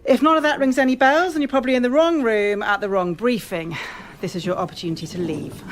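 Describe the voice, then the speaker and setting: An actress playing a brisk, authoritative police officer in a radio drama, speaking in a measured, official manner. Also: female voice, a little high, steady tone, background noise, close to an everyday voice. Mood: brisk, formal. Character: brisk, authoritative female police officer leading a case briefing. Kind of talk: formal police briefing, radio drama acting.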